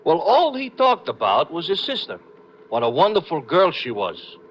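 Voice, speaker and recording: A simple, eager cabbie in a 1950s radio show. His voice sits a little high and swings freely as he talks in shy, slangy phrases. The old recording is muffled. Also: huge pitch swings, moderate pace. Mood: bashful. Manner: hesitant, plain-spoken, slangy. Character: eager, bashful, simple-hearted male cab driver. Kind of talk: 1950s radio drama acting.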